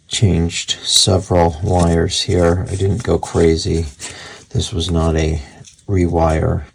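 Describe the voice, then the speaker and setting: A man's voice: a bass voice, gravelly, darkish tone, breathy edge. A man giving a casual, unscripted repair tutorial in a rambling, conversational, matter-of-fact way. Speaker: radio repair hobbyist presenting a tutorial. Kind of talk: casual unscripted workbench explanation.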